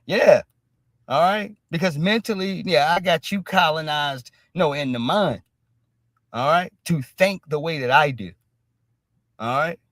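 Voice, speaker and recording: A man's voice: on the high side, unhurried, fairly bright tone, dry recording. Man ranting with constant "all right?". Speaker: streamer host. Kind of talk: ranting commentary on a clip.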